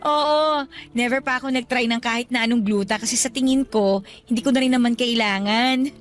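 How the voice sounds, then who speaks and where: An actress playing a modest, slightly nervous young new office hire in a casual, friendly radio drama scene. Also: female voice, a little high, a little fast, natural voice. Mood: modest, pleased.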